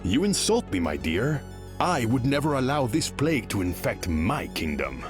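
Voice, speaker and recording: A man's voice: medium pitch, very animated, normal speed, lightly acted. A man voicing a haughty, flirtatious king of the sea for a video game, with pompous, courtly phrasing. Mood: indignant. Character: haughty, flirtatious undersea king, wounded pride. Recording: music behind.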